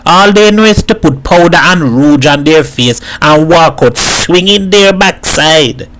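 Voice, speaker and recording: Man as a high-pitched, scornful neighbour woman. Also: expressive, unhurried, fairly bright tone, slight background noise. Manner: scornful, gossipy. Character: Missus Baku, disapproving gossipy Trinidadian neighbour woman.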